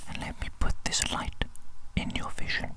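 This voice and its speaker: An ASMR performer voicing a sinister, doting evil doctor in a breathy whisper, repeating phrases deliberately in a medical roleplay, close to the microphone. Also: close to an everyday voice. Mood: coaxing. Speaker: ASMR performer playing an eye examiner.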